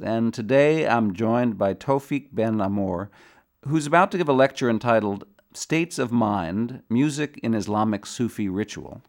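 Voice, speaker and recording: A man's voice: mid-range voice, creaky. Curious male podcast interviewer. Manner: friendly, curious questioner. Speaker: podcast host.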